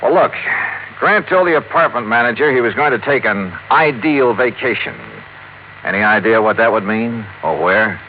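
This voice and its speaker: A man's voice: medium pitch, expressive, normal speed, slight background noise, lightly acted. Man acting a hard-boiled American radio detective, tinny sound. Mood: pressing. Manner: clipped, dry, questioning. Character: hard-boiled male insurance investigator, brisk and probing. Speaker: lead detective and narrator. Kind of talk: 1950s radio detective acting with first-person narration. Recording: tinny old radio recording, music behind.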